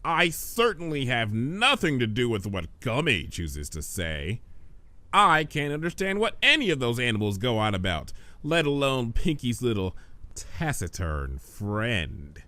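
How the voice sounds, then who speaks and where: A man's voice: medium pitch, huge pitch swings, a little slow, bright, forceful tone, no room sound, heavily acted. A man voicing a smug, mischievous male trickster creature with a breezy, dismissive drawl in a story reading. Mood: mock-innocent.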